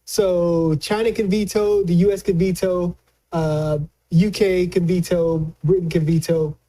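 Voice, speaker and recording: Man, high-pitched voice, soft mellow tone, halting colloquial delivery, political analyst talk. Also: fairly even intonation. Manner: halting, repeats words while explaining, colloquial. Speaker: news analyst. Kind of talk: conversational political commentary.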